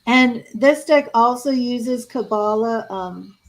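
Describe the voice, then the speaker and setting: A hoarse woman losing her voice, giving a live tarot reading and reading card meanings aloud between casual asides. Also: a little high, slow pace, low-quality recording. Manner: reads card meanings aloud, then chats and restarts. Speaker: tarot reader.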